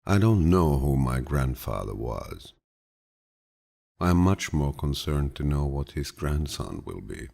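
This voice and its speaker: A man with a deep, low voice reads quotations as an audiobook in a calm, measured, grandfatherly way. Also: creaky. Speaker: audiobook narrator. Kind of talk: scripted audiobook reading of quotations.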